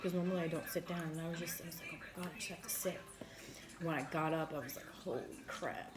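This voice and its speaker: Lowish woman, frank casual chatter. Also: fairly even intonation, quick pace. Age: adult parent. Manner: frank, swears freely. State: recovering from flu, coughing. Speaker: mukbang co-host. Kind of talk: casual chat while eating.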